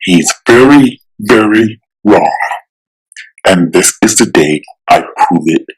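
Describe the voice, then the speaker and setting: A man voicing an arrogant, menacing billionaire villain in a pompous, deliberate, threatening way for a comic-book drama. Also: deep voice, expressive, breathy edge, exaggerated voice, low-quality recording. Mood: contemptuous.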